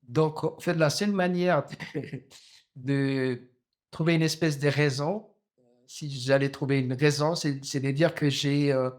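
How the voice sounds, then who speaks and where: A man in his fifties from Djibouti, a novelist answering interview questions slowly, with many pauses, fillers and restarts. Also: a little high, fairly even intonation. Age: 50s. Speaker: novelist guest.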